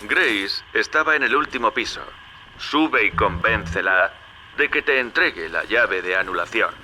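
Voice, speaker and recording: An actor with a Castilian Spanish accent playing a wry, chummy opportunist who guides the player in a video game. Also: male voice, lowish voice, animated, a little slow, noisy background. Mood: matter-of-fact, instructing. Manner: familiar, sardonic, instructing. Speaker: radio guide character.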